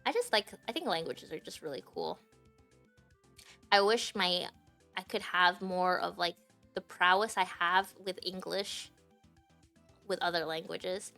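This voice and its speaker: A woman's voice: on the low side, expressive, unhurried, long pauses, bright, forceful tone, soft background music. A woman from Japan whose first language is Japanese, chatting casually on a livestream and rambling with many fillers and restarts. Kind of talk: casual stream chat.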